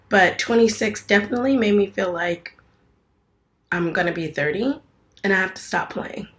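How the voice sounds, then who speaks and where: A woman's voice: medium pitch, vocal fry, a bit bright. A woman in her twenties talking casually to her viewers in a rambling home vlog, full of fillers and restarts. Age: 20s. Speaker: vlogger.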